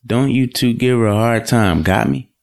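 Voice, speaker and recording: A man's voice: medium pitch, normal speed, gravelly, lightly acted. A man playing a polite, playful young man from the in an audio drama. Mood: casual, firm. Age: young adult.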